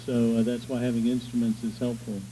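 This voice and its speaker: A man who grew up in Arkansas, a musician guest speaking in an easygoing, halting way on a radio show. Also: mid-range voice, completely flat delivery, warm tone, a little hiss or hum, poor audio. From Virginia and Arkansas, USA. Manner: easygoing, hesitant.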